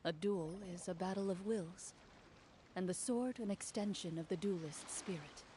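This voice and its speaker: An actress playing a composed, stern young champion duelist in a video game, speaking in a measured, formal, self-assured way over music. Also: female voice, on the low side, mellow, slight background noise, natural voice. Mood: solemn, resolute. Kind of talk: video game character dialogue.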